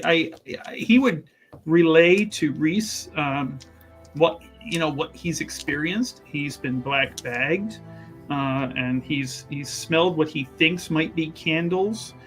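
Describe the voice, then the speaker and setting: A man talking casually as a player in a tabletop roleplaying game, wordy and thinking aloud as he rephrases himself. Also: on the high side.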